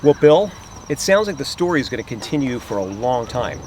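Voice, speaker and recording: A man's voice: medium pitch, very animated, a little fast. A man hosting a casual on-location interview. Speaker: host, interviewer. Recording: faint outdoor noise with insects.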